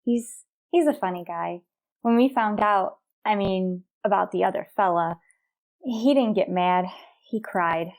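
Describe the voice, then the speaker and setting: A young woman playing a frightened, wistful stowaway in a radio drama, halting, pleading and emotional. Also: medium pitch, lightly acted. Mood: wistful, resigned. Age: young adult.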